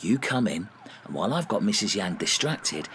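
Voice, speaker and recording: An actor playing a self-serious, easily exasperated male robbery gang leader in a foul-mouthed audio comedy, deliberate and deadpan. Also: on the low side, slight background noise. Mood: conspiratorial.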